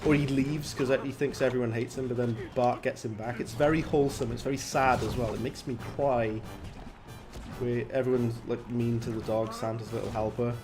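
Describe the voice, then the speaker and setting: A man with a Welsh accent streams gameplay from home, chatting easily with his viewers. His voice sits at a medium pitch, and he laughs often as he goes. Game music and effects play clearly behind him. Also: steady tone, moderate pace. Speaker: gaming streamer. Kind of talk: live gameplay commentary. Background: game music and sound effects.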